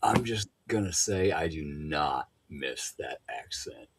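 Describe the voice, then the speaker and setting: A co-host in a casual live chat, making dry, deadpan remarks. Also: rumbling low, takes it slowly, a little hiss or hum.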